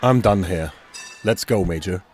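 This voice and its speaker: An actor playing a commanding Soviet military officer in a war game cutscene, curt and authoritative. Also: male voice, low-pitched, unhurried, soft background music.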